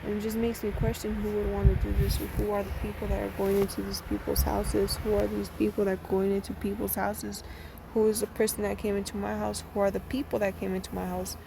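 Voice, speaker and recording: A young woman speaks to her phone while close to tears, and her voice drops into a gravelly fry. She talks haltingly, repeats herself and sighs between thoughts. Constant vehicle noise rumbles behind her, as if she is recording inside a car. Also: level tone. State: sad, near tears. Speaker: vlogger. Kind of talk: emotional personal video message.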